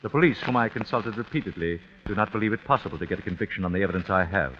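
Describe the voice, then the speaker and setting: Low male voice, acted, avenging father, composed, formal, menacing, vintage radio drama, dull hissy recording. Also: natural voice. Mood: grave menace. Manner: measured, formal, deliberate. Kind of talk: old-time radio drama acting.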